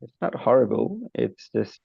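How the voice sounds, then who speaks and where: A man from Nepal chatting with his teacher on a call, hesitant, with many fillers and soft laughs. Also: mid-range voice, big pitch swings, moderate pace, creaky, husky, dry recording, phone-quality sound. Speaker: student. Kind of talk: casual chat with teacher.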